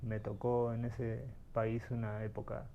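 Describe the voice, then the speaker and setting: A man's voice: medium pitch, completely flat delivery, unhurried, lo-fi sound, a little hiss or hum. Reflective middle-aged man. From Uruguay. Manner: thoughtful, searching for words, frequent restarts. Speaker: guest, artist. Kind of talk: reflective interview storytelling.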